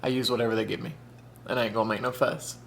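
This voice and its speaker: A man's voice: medium pitch, little pitch movement, very fast, gravelly, a bit bright, a little hiss or hum. A man, chatting casually and campily while eating on camera, sometimes with his mouth full. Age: 30s. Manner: chatty, camp, says "y'all" and "honey". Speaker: mukbang vlogger.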